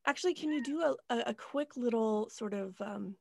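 A woman's voice: fairly high pitch, moderate pace, vocal fry, dark tone, dry recording. A woman hosting a podcast, earnest and musing in casual chat, swearing freely. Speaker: podcast host.

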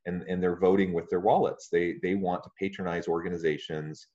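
A man hosting an interview podcast, friendly and measured, with frequent fillers. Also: deep voice, steady tone, dark tone, highs cut off. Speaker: podcast host.

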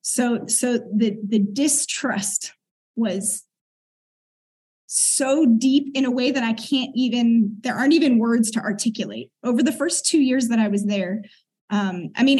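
A middle-aged woman speaks in a slightly husky, breathy voice that sits a little higher than most. She talks in an earnest, reflective way, clearing her throat now and then as she tells her story. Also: unhurried, darkish tone, poor audio. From United States. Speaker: guest. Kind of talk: conversational interview storytelling.

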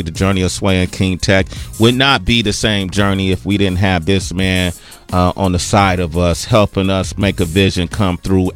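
A man hosting a radio show, earnestly and warmly introducing a guest in heartfelt terms. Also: low voice.

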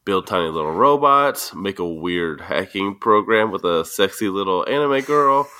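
A man's voice: low-pitched, animated, normal speed, sharp tone. A man co-hosting a casual podcast, joking and laughing often. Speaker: podcast co-host.

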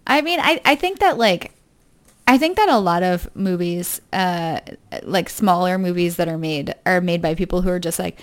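A young woman chatting casually about movies, laughing often and talking in a relaxed way. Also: on the low side, moderate pace. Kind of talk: casual chat.

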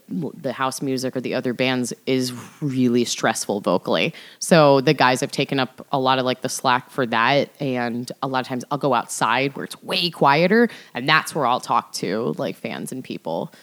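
A woman's voice: extremely low pitch, normal speed. A young woman, a band vocalist, talking casually as a podcast guest, loose and chatty with lots of fillers. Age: young adult. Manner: chatty, filler-heavy, laughs often.

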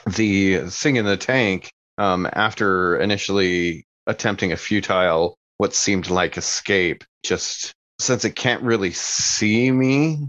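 A man's voice: low voice, slow pace, few pauses, fairly bright tone, no room sound. A man casually improvising his character's actions in a tabletop game, hesitant and thoughtful.

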